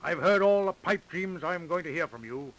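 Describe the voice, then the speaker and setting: High-pitched, blunt American detective. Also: male voice, expressive, moderate pace, a bit bright, low-quality recording. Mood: tough. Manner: hard-boiled, insistent. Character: blunt, accusing male police detective. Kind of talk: 1930s radio acting. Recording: vintage 1930s radio recording.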